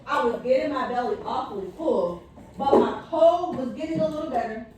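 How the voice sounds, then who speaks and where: A woman's voice: high-pitched, lively intonation, normal speed. A woman voicing an indignant storybook wolf who tells his side of things with wry, theatrical, mock-innocent self-pity. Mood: aggrieved, wry. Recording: very echoey room, distant mic, faint noise.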